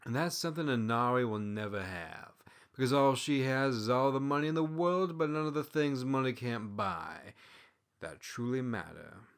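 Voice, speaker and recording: A man's voice: medium pitch, lively intonation, moderate pace, clear, resonant tone, natural voice. A man voicing an outspoken, opinionated female tanuki servant in a storybook, arguing with emphasis. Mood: emphatic, scornful.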